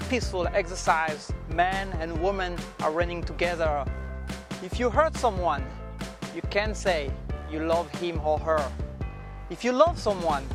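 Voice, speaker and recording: A man from France delivering a scripted campaign message in plain, earnest statements. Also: fairly high pitch. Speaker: campaign video speaker.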